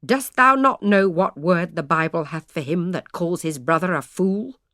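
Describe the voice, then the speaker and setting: A woman's voice: on the low side, moderate pace, a bit bright, no echo, studio quality. A woman voicing a grave, severe old male parson who speaks in old-fashioned words.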